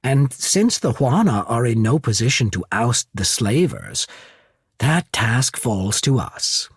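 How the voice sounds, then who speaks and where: A man playing a calculating imperial diplomat in a video game, speaking in a measured, formal, persuasive manner with a husky voice. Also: medium pitch, no echo, studio quality, full character voice. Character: smooth, calculating male diplomat of an imperial trading power. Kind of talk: scripted video game character dialogue.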